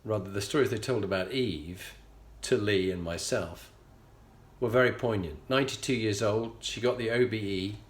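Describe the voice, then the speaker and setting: A middle-aged man with a Yorkshire accent, telling a personal story as a show host in an earnest, measured way with frequent pauses. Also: fairly low pitch. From England. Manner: earnest, measured, pausing often. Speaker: leadership coach and show host. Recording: echoey room.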